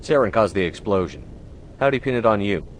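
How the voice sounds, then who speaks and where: An actor playing a stern, resolute starship commander in a video game, terse and authoritative. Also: male voice, lowish voice, lively intonation, brisk, a little hiss or hum, lightly acted.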